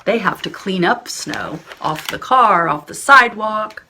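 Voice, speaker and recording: A woman's voice: mid-range voice, lively intonation, a little slow, sharp tone, reverberant, distant mic, a little hiss or hum. A woman librarian leading preschool storytime, sing-song and encouraging as she talks to small children. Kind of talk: preschool storytime with songs and reading.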